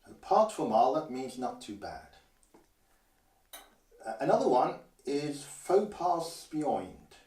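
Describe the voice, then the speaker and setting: An older man from Guernsey teaches greetings patiently, pausing to say each phrase slowly and clearly. His voice is mid-pitched and sits back from the mic. A roomy echo surrounds him. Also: sing-song, darkish tone, distant mic. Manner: measured, careful, slows down to model phrases. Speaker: language teacher. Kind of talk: instructional explanation.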